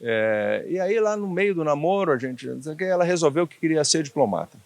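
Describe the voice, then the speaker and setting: Sixties guy w a faded bahian accent, tells stories n laughs a lot, bit high voice. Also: a little fast, vocal fry. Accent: faded Bahian Brazilian Portuguese. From Bahia, Brazil. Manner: chatty, laughing, lively when telling anecdotes. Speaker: guest, former diplomat. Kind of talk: relaxed storytelling interview.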